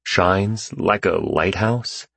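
A man's voice: deep voice, extremely slow, gravelly, fairly bright tone, no room sound, exaggerated voice. A man voicing a wary, outspoken older mother in an audiobook, hesitant and exclaiming. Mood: wary, puzzled.

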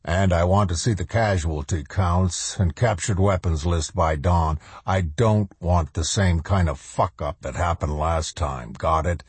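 A man voicing a ruthless, commanding colonel in an audiobook story, with a low, rough voice and curt, forceful orders. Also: measured pace, hardly any pauses, dry recording. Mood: menacing. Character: ruthless masked male colonel, cold, commanding, menacing.

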